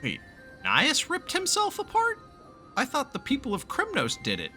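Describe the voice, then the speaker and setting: A man's voice: very high-pitched, huge pitch swings, normal speed, sharp tone. A man giving casual, blunt, sweary commentary as he reacts to a video game. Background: game music faintly.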